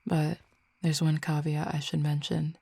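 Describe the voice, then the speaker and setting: A dominant, teasing fallen Cupid, played by a woman in an audio roleplay. The voice sits low and dark with a gravelly edge, held level with little rise and fall. It speaks slowly and closely to the listener, slipping into whispers. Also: completely flat delivery, no echo, exaggerated voice. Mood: calm explaining. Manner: slow, measured, intimate, with soft whispered stretches. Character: commanding, teasing fallen Cupid, not human.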